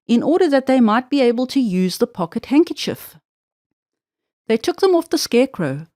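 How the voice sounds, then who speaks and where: A woman's voice: fairly high pitch, dark tone, dry recording, clean studio sound. A woman narrating a children's storybook expressively, drawing out words for emphasis.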